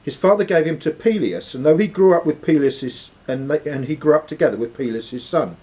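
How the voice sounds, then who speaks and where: A man's voice: fairly high pitch, a little fast, darkish tone, slight background noise. A man hosting a live quiz in a club room, reading the questions aloud and ruling on answers. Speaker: quiz host and question reader. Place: sports and social club room.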